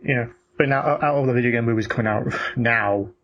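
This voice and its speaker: A man's voice: medium pitch, brisk, fairly bright tone, muffled recording. A man chatting casually and giving blunt opinions in a sweary, ranting way. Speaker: podcast co-host. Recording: noticeable background noise.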